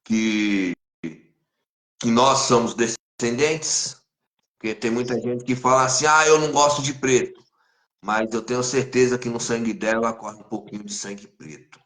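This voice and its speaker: A Brazilian man hosts a live-stream interview as a capoeira master, speaking very slowly and deliberately. His voice is mid-pitched and fatherly, heard over a video call. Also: fairly even intonation, fairly bright tone, echoey room.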